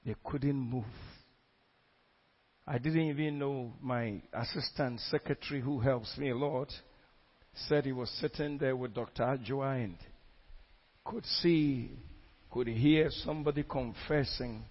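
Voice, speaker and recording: A man's voice: medium pitch, unhurried. Fervent male preacher, lo-fi sound. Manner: fervent, exclamatory preaching with repetitions. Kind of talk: sermon testimony. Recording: dull lo-fi recording.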